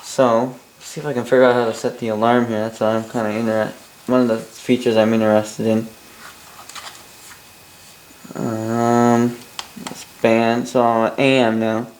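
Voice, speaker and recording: A man's voice: mid-range voice, flat delivery, measured pace, long pauses, a little mellow, low-quality recording. A Canadian man giving a casual, rambling hobbyist product review, with grumbling asides and mild swearing.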